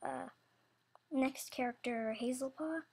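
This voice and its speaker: A woman's voice: sits high, takes it slowly, creaky, a little mellow, a little hiss or hum. A woman giving a casual, loosely scripted announcement about animation characters in a hesitant, rambling, apologetic way. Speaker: animator describing characters for voice auditions.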